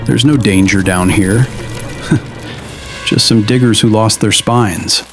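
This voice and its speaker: A dismissive alien mine official, played by a man, low in pitch and slow-paced. He is impatient with worried workers, in a motion comic with music clearly behind him.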